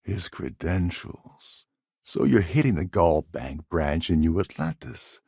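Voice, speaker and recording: A man playing a gruff, sardonic space pirate con man in a video game, with a gravelly, husky voice and a wry, scheming manner. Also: low-pitched, very animated, slow, mellow, no echo, studio quality, heavily acted. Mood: sly, measured. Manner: wry, sarcastic, world-weary.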